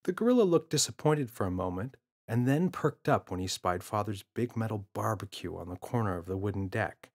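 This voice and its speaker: A man's voice: fairly low pitch, expressive, a little fast, few pauses, a little mellow. Man narrating a children's audiobook. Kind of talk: scripted children's story narration.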